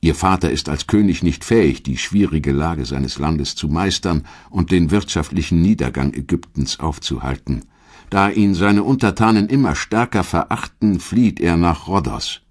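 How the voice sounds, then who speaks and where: A man reading a scripted audio documentary in a measured, dramatic storytelling manner, with a husky voice. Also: deep voice. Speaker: documentary narrator. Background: background music.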